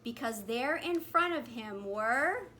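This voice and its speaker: A woman's voice: fairly high pitch, lively intonation, slow, pushed and bright. A woman reading a picture book aloud expressively to young children. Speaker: kindergarten principal. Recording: faint background noise.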